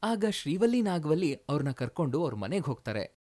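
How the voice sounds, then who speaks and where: Storyteller with a mid-pitched voice. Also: big pitch swings, fairly quick, darkish tone. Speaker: narrator of a moral story. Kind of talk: scripted storytelling narration.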